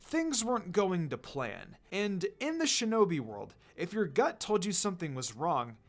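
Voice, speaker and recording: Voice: medium pitch, sing-song, normal speed. A narrator reading fan fiction aloud as scripted storytelling.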